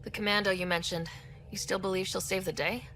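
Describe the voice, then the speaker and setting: A woman's voice: medium pitch, fairly even intonation, brisk, fairly bright tone, soft background music, lightly acted, poor audio. A woman playing a pragmatic, cautious young team leader in a video game. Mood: questioning, firm. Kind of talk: video game cutscene acting.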